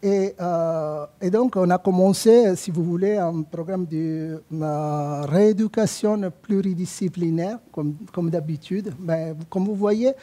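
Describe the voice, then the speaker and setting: A man's voice: high voice, unhurried, hardly any pauses, mellow. A man with an Italian accent, a rehabilitation physician presenting a clinical case at a conference, speaking hesitantly with many fillers and restarts.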